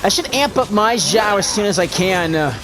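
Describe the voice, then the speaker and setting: A gamer giving excited, exclamatory gameplay commentary, reacting aloud. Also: mid-range voice, normal speed. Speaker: gamer, video host. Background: game music.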